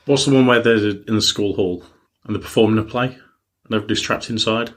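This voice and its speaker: A young man co-hosts a relaxed podcast in a fairly low voice. He talks in an easygoing, casual way, often pausing and restarting mid-sentence as he gathers his thoughts. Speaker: podcast co-host. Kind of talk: casual chat.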